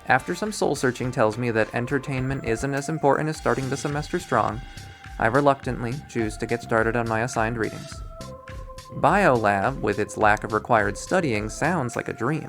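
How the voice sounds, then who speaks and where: Male game readthrough narrator, mid-pitched, reading scripted prose with wry drama over background music. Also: moderate pace, a bit bright. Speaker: visual novel readthrough player and narrator.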